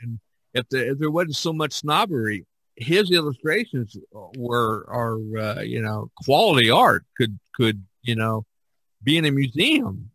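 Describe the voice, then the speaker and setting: A man's voice: medium pitch, animated, a little slow, a bit bright, dry recording, low-quality recording. An older man in a casual podcast discussion, rambling and opinionated as he talks. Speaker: podcast host.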